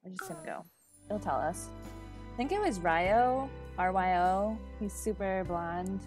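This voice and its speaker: A woman's voice: medium pitch, lively intonation, a little slow, darkish tone. A woman in her thirties casually chatting through a life-simulation game, sniffly and congested, on a headset at home. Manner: chatty, thinks aloud, sniffly from allergies. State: stuffed-up nose. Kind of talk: casual gameplay commentary. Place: home room at a desk. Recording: game music under the voice. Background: game music.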